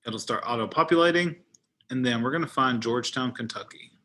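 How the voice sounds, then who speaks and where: A man with a bright, forward voice at an ordinary male pitch leads an informal software tutorial. He talks like a trainer walking someone through a screen, thinking aloud as he goes. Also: brisk. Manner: thinks aloud while demonstrating. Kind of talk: informal screen-share walkthrough.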